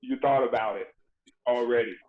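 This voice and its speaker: A man, telling stories in a relaxed, earnest way with lots of "you know" fillers, heard over a remote call. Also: mid-range voice, moderate pace. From Dublin, Georgia, USA. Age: late 30s. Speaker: guest, former basketball player. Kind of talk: casual storytelling conversation. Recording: tinny phone-like call line with faint noise.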